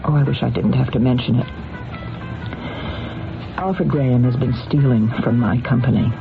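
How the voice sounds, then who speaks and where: An actress playing a scheming businesswoman who feigns innocence, halting and theatrical, in an old-time radio drama. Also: extremely low pitch, gravelly. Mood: reluctant, distressed. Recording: muffled vintage radio with music behind.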